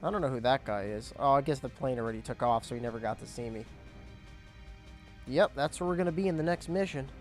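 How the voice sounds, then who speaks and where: A young man casually commentating his gameplay, explaining objectives as he goes, his voice cracking and squeaking now and then. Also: quick pace, soft background music. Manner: chatty, explains objectives as he plays. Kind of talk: casual let's play commentary.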